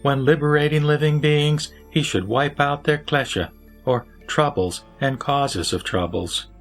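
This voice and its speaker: A man's voice: a little high, unhurried, faint music behind, pitched above the performer's own voice. A man voicing a wise lay teacher who answers solemnly and formally in a scripture audio drama. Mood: solemn teaching. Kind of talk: audio drama sutra recitation.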